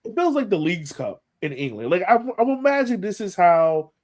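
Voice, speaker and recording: A man's voice: high-pitched, sing-song, brisk, a little mellow. A man co-hosting a sports podcast, talking casually and thinking aloud as he gives his opinions on soccer. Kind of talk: casual soccer analysis chat.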